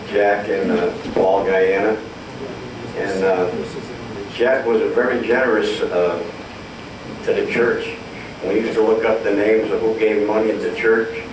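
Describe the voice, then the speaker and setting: A man's voice: fairly low pitch, steady tone, a little slow. A man in the audience adding an eager memory about a local shopkeeper. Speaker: audience member. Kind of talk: casual recollection. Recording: echoey hall, distant mic, noisy.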